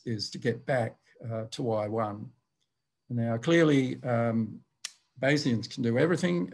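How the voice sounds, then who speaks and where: Gravelly older man lecturing. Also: mid-range voice, a little mellow. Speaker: university professor giving a lecture. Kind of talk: academic lecture over slides. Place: indoors, on a video call.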